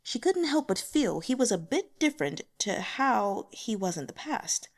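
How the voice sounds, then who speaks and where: A woman's voice: on the high side, big pitch swings, moderate pace. A woman reading scripted fantasy fiction as an audiobook narrator.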